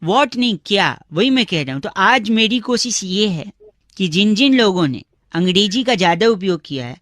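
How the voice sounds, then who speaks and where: A cheeky young male prank caller with a high, bright voice. He is theatrical and mock-polite, teasing with drawn-out words in a close, dry radio comedy sound. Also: lively intonation, dry recording. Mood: mock-polite, pedantic. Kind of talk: comic radio prank call.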